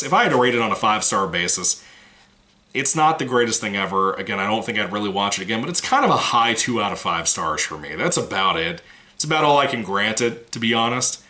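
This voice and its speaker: A man's voice: fairly low pitch, pushed and bright. A man giving a casual, rambling movie review, frank and swearing now and then, his voice still recovering from a cold.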